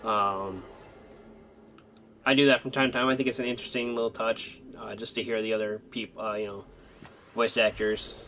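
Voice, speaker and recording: Low-voiced man, rambling game commentary. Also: fairly even intonation, moderate pace, long pauses, fairly bright tone, a little hiss or hum. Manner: rambling, hesitant, laid-back. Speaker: gaming commentator. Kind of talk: casual let's-play commentary.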